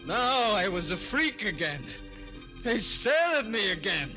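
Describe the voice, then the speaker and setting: A man's voice: sits high, sing-song, unhurried, exaggerated voice, low-quality recording. An actor playing a tormented murderer, a small man grown into a giant, in 1940s radio horror style. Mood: anguished narrating. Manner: melodramatic, anguished. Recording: old radio recording with faint music.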